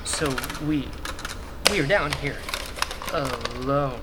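A woman's voice: a bass voice, slow pace, very noisy. An actress playing an impulsive, apologetic young woman rebel in a sci-fi radio drama, with a conversational, hesitant delivery. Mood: somber. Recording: loud music under the dialogue. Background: loud music.

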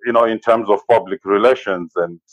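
Zimbabwean guy, 40s, really low voice, takes his time telling his story in an interview, bit muffled sound. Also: no echo. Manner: measured, halting, often says "you know". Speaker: interview guest, safari business owner. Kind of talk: conversational interview, personal recollection.

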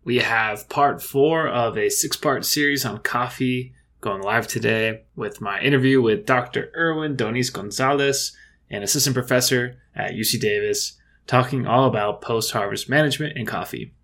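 A man's voice: mid-range voice, moderate pace, a bit bright. Enthusiastic male podcast host. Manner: enthusiastic, informal. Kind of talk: upbeat podcast hosting and interviewing.

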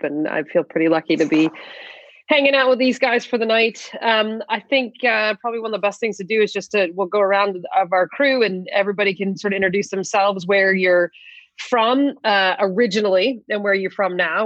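A woman's voice: medium pitch, fairly bright tone, dry recording, lo-fi sound. Upbeat woman moderating a chat, dry sound. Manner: upbeat, steering the talk. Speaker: guest moderator. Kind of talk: casual roundtable chat.

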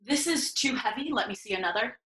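A volatile, proud young duelist. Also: female voice, a little high, expressive, brisk, bright, forceful tone. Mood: curt. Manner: proud, terse, intense. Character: proud young noble duelist, aggrieved and combative. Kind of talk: classical stage verse in audio drama.

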